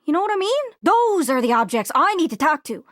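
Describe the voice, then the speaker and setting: Chatty little globe from a video game, super high voice, swoops all over, impatient and gushy, really dry sound. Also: female voice, sing-song, a little fast, a bit bright, no room sound, heavily acted. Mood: eager, pleading. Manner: chatty, gushing, impatient, folksy. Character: excitable, chatty little talking globe eager to explore. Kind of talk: animated video game character acting.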